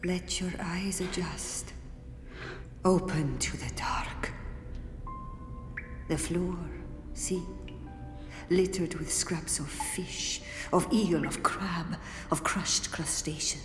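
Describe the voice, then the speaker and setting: Gothic audio drama: tormented riddling woman, whispery, extremely slow, long reverb. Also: fairly low pitch. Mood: eerie, hushed. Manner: slow, theatrical, breaking into whispers. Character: haunting, tormented woman of the river's dark, riddling and menacing. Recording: roomy echo, long reverb, faint music.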